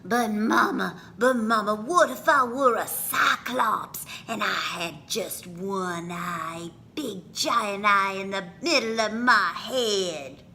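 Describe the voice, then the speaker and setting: Woman as anxious little kid, pleading, exaggerated questions, bright sharp voice, storybook read-aloud, faint noise. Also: medium pitch, slow pace, few pauses. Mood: pleading, dramatic. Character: imaginative, anxious young child pleading with Mama.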